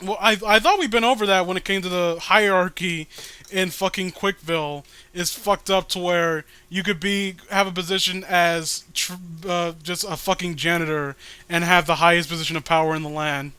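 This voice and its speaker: A man's voice: sits high, fairly even intonation, normal speed, sharp tone, smooth. A man giving casual, rambling and profane commentary as he co-hosts a read-along. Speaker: co-host of a comic read-along video.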